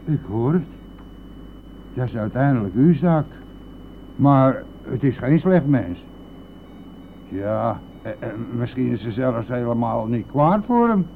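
A man's voice: medium pitch, very animated, slow pace, gravelly, pauses often, full character voice. Tinny radio-play chatterbox male driver. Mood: reassuring. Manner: garrulous, colloquial, chuckling, insistent. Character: chatty, nosy male driver, crude and jovial, pestering silent passenger. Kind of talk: Dutch radio play acting. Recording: tinny band-limited old radio recording, vehicle noise.

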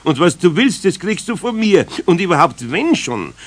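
A man playing an older, good-natured but grumbly carpenter with a Bavarian accent in a children's radio play, his voice husky. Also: high-pitched, very animated, normal speed. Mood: exasperated. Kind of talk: 1970s radio play acting.

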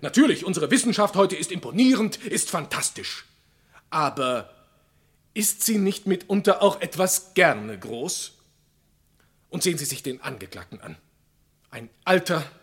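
A man playing an impassioned defence lawyer in a crime radio play, pleading theatrically with rhetorical pathos in a husky voice. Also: high-pitched, fairly bright tone, full character voice. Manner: rhetorical, pathos-laden, dramatic pauses. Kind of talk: German crime radio play, courtroom oratory.

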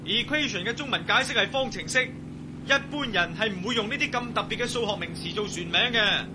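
Man acting an offhand pilot over loud ambience. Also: high-pitched, brisk, close to an everyday voice. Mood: dismissive. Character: casual, sceptical male helicopter pilot sidekick. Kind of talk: radio drama dialogue. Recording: noisy aircraft sound effects.

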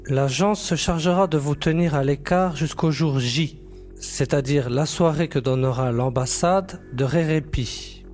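An actor playing a matter-of-fact, dryly teasing agency colleague in an audio drama. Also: male voice, on the high side, measured pace, a little mellow, faint music behind, close to an everyday voice. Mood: businesslike. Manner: composed, explanatory, dry.

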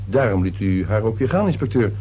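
A nervy middle-aged father in a radio play, very husky and breathy. His pitch swings about as he eagerly agrees and defers, uneasy underneath. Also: lively intonation, fairly quick, mellow. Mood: uneasy, ingratiating. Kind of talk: radio drama acting.

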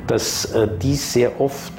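A man speaking as a psychiatric expert in an interview, measured and clinical. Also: mid-range voice, fairly even intonation. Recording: music behind interview.

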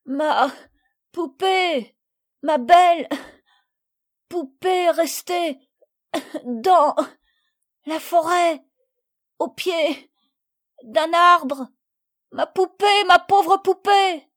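A woman voicing a small girl who sobs and wails in a high, tearful voice in an audiobook reading. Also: fairly even intonation, measured pace, mellow. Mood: sobbing. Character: small girl, sobbing and desperate.

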